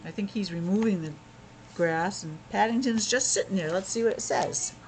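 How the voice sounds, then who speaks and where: A woman's voice: medium pitch, moderate pace, a little mellow, soft background music, rough recording. A woman reading a picture book aloud to young children as a teacher, breaking off for chatty asides, explanations and questions. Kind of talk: read-aloud storytelling with casual asides.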